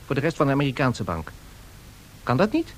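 An actor playing an excitable, harried male aide in a 1970s radio drama, theatrical and expressive. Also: brisk. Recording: vintage radio recording, low rumbling noise.

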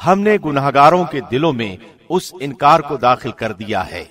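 A man's voice: mid-range voice, very animated, fairly bright tone, long reverb tail, faint music behind. A man reading a scripture translation from a script in a formal radio presenter's style.

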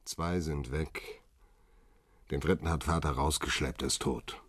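A former militant who stays calm and cold. He speaks in short, firm sentences with a low, husky voice, recorded close and dry. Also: unhurried, no room sound, exaggerated voice. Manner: firm, curt, insistent. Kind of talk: radio drama acting.

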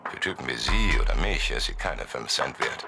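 A man's voice: extremely low pitch, expressive, moderate pace, vocal fry, heavy background noise, full character voice. A man playing a delirious dying gangster in a psychedelic radio drama, rambling erratically and slipping into whispers. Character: delirious dying male gangster, muttering and pleading. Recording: voice over loud music.

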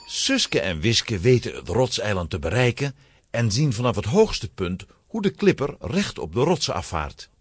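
Casting: man as children's story narrator, raspy, extremely expressive scripted delivery. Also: lowish voice, normal speed, dry recording, clean studio sound.

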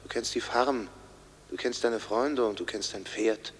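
An actor playing a probing, insinuating inner voice that prods quietly and repetitively in a radio drama. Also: male voice, lowish voice, measured pace, close to an everyday voice. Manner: quiet, pointed, repetitive prodding. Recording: faint background noise.

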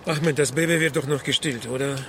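A man's voice: on the high side, fairly quick, natural voice. Guy acting a dry ironic detective in a radio play, unflappable, bit of noise behind. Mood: dryly practical. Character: sardonic, unflappable Ukrainian male private detective. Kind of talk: German radio drama dialogue and narration. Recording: faint background noise.

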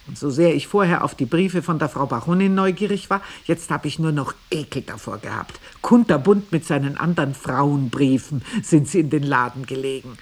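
A woman playing a bitter, earthy older maidservant, telling her story in a dramatic monologue with a husky voice. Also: sits low, exaggerated voice. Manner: scornful, vivid, shifting between murmur and emphasis.

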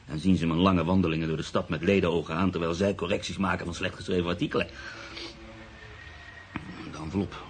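A man playing a proud, brooding newspaper writer in a radio drama, with measured, literary delivery. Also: low-pitched, little pitch movement, lightly acted. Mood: sceptical musing. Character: proud, brooding male newspaper writer, sceptical and easily unsettled. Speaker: actor. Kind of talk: radio drama acting, monologue and narration. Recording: radio play with clear background noise.

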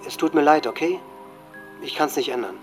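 A man's voice: on the high side, brisk, lightly acted. Disturbed teenage boy played by a man over music. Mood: regretful farewell. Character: disturbed, hate-filled teenage male school shooter. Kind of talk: German audio drama monologue.

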